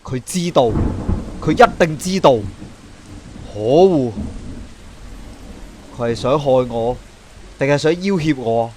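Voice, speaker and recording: A man's voice: mid-range voice, animated, slow pace, pauses often, slight background noise. A man with a Hong Kong Cantonese accent playing a proud, obsessive young police superintendent in a theatrical, brooding radio drama style. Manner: theatrical, brooding, swinging to outbursts. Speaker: voice actor.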